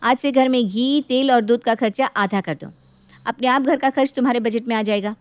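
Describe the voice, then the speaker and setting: Woman playing greedy, domineering younger sister for a cartoon moral tale; high voice, mock-polite taunts, dry close recording. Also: normal speed, no echo, lightly acted. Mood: mocking, bossy. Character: greedy, bossy younger married sister, taunting her family. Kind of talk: dramatized Hindi moral story acting.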